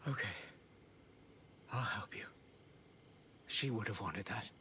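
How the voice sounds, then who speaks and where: An actor playing a guilty, grief-stricken scientist in a video game, earnest and halting. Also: male voice, on the low side, sharp tone, slight background noise. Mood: sad, resolved. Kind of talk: video game cutscene acting.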